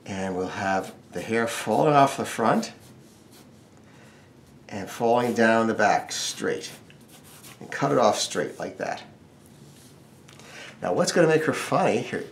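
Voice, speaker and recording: A man's voice: fairly low pitch, very animated, a little slow, pauses often, a little hiss or hum. A man teaching a casual step-by-step drawing tutorial, with wry, joking asides. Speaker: drawing instructor.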